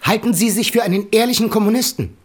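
An actor playing a stern, authoritarian party secretary who chairs a tribunal in a curt, formal way, in a radio drama. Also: male voice, high-pitched, fast talker. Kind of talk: German radio drama acting.

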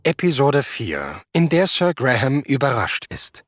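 A male radio drama announcer delivers scripted titles. His mid-pitched voice moves in a lively, varied melody over loud theme music. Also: unhurried.

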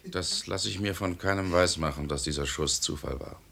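An actor playing a blunt, cynical doctor, grave and sardonic, with a gravelly voice in a 1950s radio drama. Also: male voice, sits low, moderate pace, sharp tone, a little hiss or hum, heavily acted.

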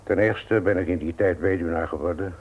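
Stubborn old uncle, tinny radio drama. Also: male voice, sits low, slightly rough. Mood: stubborn, formal. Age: older. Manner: formal, measured, unyielding. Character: stubborn widowed uncle in his seventies, shrewd business owner. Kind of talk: radio play acting. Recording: tinny, narrow-band old radio recording.

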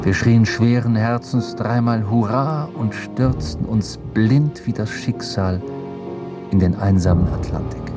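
A man narrating a literary audio drama with a husky voice, recorded in a studio. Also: fairly low pitch, measured pace, loud music behind the voice. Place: dry studio.

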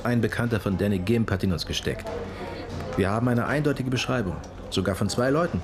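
An actor playing a sober, persistent police detective in a radio drama, questioning people matter-of-factly. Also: male voice, on the low side, a little fast, over music, lightly acted.

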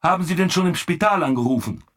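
A man's voice: high-pitched, expressive, fast talker, a bit bright. An actor playing a dryly exasperated male police commissioner with curt, clipped replies in a German radio play. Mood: brisk, commanding.

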